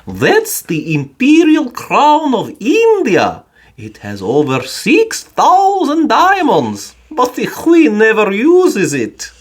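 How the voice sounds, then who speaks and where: Woman as a kindly storybook gentleman, widely swooping intonation. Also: fairly high pitch, huge pitch swings, takes it slowly, pitched above the performer's own voice, exaggerated voice. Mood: informative. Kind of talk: children's storybook read-aloud.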